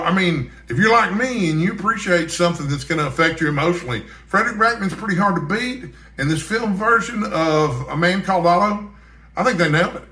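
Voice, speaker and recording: A man's voice: on the high side, pushed and bright. A middle-aged man chatting casually to viewers as a book vlogger, with conversational asides, recorded in a roomy space. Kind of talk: casual chat to camera, answering a list of book questions. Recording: roomy, echoey sound.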